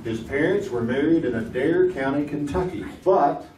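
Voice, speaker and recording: A man's voice: on the high side, animated, normal speed, darkish tone, natural voice. A man playing a joking 1950s quiz show host with a folksy, teasing manner, on a theater stage. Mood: playful. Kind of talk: 1950s radio game show acting. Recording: echoey stage audio from far off, hiss.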